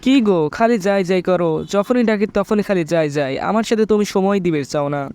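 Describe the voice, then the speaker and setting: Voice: mid-range voice, lively intonation, fairly quick, noisy background, natural voice. A performer voicing an earnest young cartoon boyfriend with a Bangladeshi accent, plaintive, in colloquial village speech. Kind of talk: comedy cartoon dialogue and narration. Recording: music under the voice.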